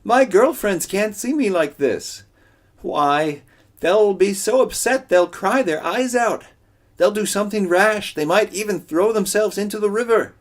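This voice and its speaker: A man voicing a vain, boastful male coyote trickster in a story, with a high, squealing, exaggerated falsetto and a wheedling, prattling manner. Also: big pitch swings, unhurried. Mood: shrill. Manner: wheedling, prattling, shrill outbursts.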